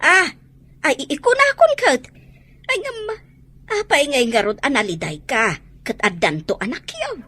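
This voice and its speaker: An actress with an Ilocano accent playing a nosy, excitable village woman in a radio comedy drama, exclaiming theatrically. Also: high-pitched, a little slow, exaggerated voice. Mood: emotional.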